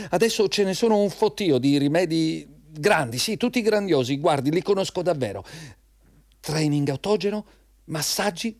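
An actor playing an anxious, servile employee in a radio drama, rambling and repeating himself obsequiously to please the boss. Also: male voice, high-pitched, a little mellow. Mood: eager, pleading.

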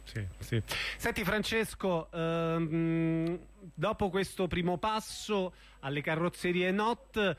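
A man's voice: high-pitched, slow. A man hosting an informal live radio interview.

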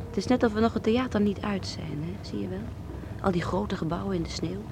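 A woman's voice: medium pitch, moderate pace, background noise, close to an everyday voice. An actress playing a delighted, fond and teasing woman visiting a big city, in a naturalistic radio drama. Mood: tender, dreamy. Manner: gushing, affectionate, teasing.

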